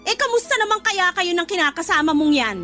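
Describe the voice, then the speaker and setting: An actress playing a bitter, confrontational older sister in a radio drama, speaking scornfully. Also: female voice, very high-pitched, lively intonation, a little fast, sharp tone, soft background music, heavily acted. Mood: accusing, exasperated. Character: bitter older sister feuding with her brother's live-in girlfriend.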